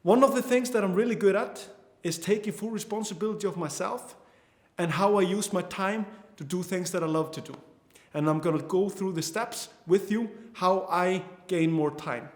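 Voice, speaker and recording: A man's voice: high-pitched, normal speed, echoey room. A man in his twenties from Iceland with an Icelandic accent, teaching an online course earnestly, often restarting his sentences. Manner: earnest, with frequent restarts and fillers. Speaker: course instructor.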